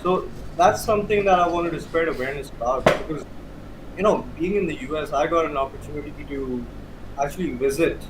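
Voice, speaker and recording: A man's voice: on the high side, fairly quick. A man in his thirties with a Pakistani accent, explaining things as an interview guest and often rephrasing himself. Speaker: interview guest, cigar hobbyist. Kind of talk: casual interview chat. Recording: clear steady background noise.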